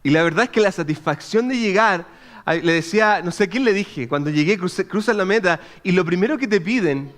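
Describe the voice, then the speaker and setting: A man in his thirties from Chile with a Chilean accent, preaching in a chatty, animated way and checking in with his listeners as he tells a personal story. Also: high voice, a little fast. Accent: Chilean Spanish. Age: 30s. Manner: chatty and animated, frequent restarts and "¿ok?" check-ins. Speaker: pastor.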